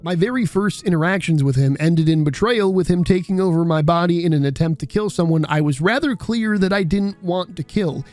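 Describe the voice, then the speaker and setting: Mellow-voiced man narrating a video essay. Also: high-pitched, a little fast, hardly any pauses, faint music behind. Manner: wry, casually profane.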